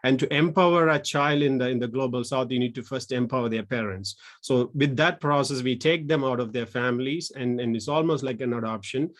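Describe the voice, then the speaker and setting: A man in his thirties from Sri Lanka, a social entrepreneur giving an online presentation, speaking haltingly with many restarts. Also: mid-range voice.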